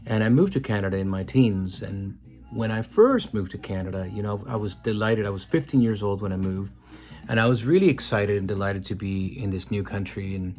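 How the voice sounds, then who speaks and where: Earnest, reflective man with a low voice. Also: fairly quick, a little mellow. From Mexico. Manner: reflective, earnest, with frequent restarts. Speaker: musician. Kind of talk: personal story told to camera.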